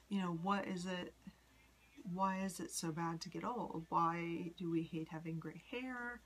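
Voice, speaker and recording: A woman in her forties from the United States, rambling in a personal vlog with many ums and soft whispery asides. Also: fairly low pitch, a little hiss or hum. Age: 40s. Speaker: vlogger. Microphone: phone.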